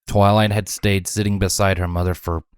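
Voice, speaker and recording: A man's voice: sits low, level tone, normal speed, smooth, dry recording. Man, story narrator, scripted reading, dry recording. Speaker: fan fiction narrator.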